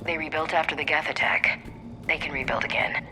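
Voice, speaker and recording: A plain-spoken female soldier from a video game. Her voice is steady and deep for a woman, over clear music. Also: brisk. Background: background music.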